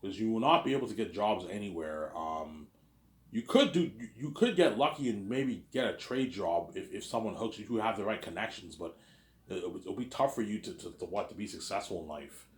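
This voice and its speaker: Deep-voiced rambling man in his thirties. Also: lively intonation, brisk, reverberant. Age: 30s. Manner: rambling, repeats himself, acts out both sides of imagined talks. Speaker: vlogger. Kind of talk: casual monologue to camera.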